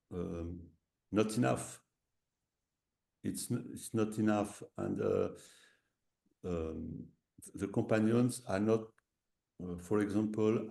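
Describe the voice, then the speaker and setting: A man with a French accent, native French, speaking haltingly in English on a webinar, full of fillers and restarts and slipping into French. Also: lowish voice, gravelly, warm tone, roomy sound. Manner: hesitant, many fillers and restarts, slips into French. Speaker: visiting professor speaking on a webinar. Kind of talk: halting webinar talk in a second language.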